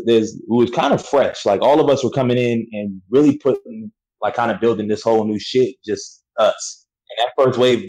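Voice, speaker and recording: A young man, a battle rapper, talking about his start in a relaxed, slangy way, often saying "you know what I'm saying". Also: lowish voice, poor audio. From United States. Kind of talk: casual interview chat.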